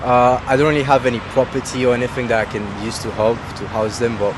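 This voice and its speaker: A man's voice: medium pitch, flat delivery, fast. A young man from Romania, a university student answering street interview questions in a hesitant, earnest way. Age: young adult. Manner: hesitant and earnest, with many "I mean" fillers. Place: outdoors on a street. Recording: outdoor street recording with traffic.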